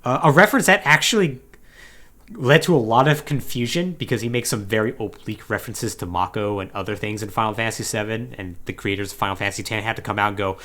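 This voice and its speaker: A man's voice: fairly low pitch, fast. A man co-hosting a podcast, giving a casual, comedic plot recap in an emphatic, conversational way with wry asides. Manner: animated, emphatic storytelling. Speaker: podcast co-host.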